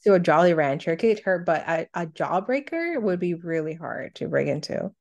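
A woman with a slightly low voice co-hosts a casual countdown show. She reads out each entry plainly, then drifts into conversational reminiscing. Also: lively intonation, fairly quick, a little mellow. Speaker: co-host of a cartoon countdown show. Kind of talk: casual chat, reading out countdown entries.

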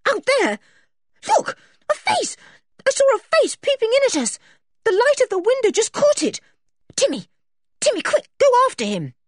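A woman's voice: high voice, very animated, normal speed, no room sound, clean studio sound, pitched above the performer's own voice. A woman voicing a hot-tempered, imperious tomboy girl in a children's storybook. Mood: frantic, furious. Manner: imperious, shouting and scolding.

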